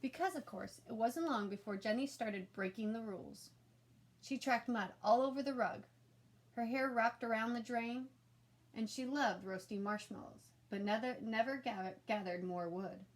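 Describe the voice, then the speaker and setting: A woman with a fairly high voice reads a children's storybook aloud. Her narration is expressive and animated, with clear emphasis. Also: moderate pace.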